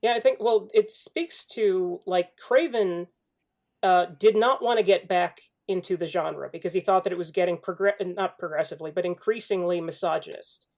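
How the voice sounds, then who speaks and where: A man's voice: high voice, normal speed, a little mellow. Male podcast panelist, analytical but casual film talk, thoughtful, self-qualifying delivery.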